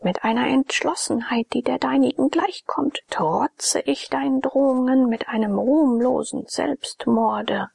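A woman reading a scheming, imploring Egyptian man in a storybook, in a breathy half-whisper. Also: mid-range voice, moderate pace, darkish tone, no room sound. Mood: passionate. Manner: pleading, insistent.

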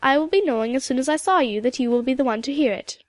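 A woman reading a storybook, voicing a proud, dignified old widow with a Highland Scottish accent and a rich, emphatic delivery. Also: fast, no echo, lightly acted. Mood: firm, confiding. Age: older. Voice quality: rich, powerful. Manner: stately, emphatic, lilting turns of phrase.

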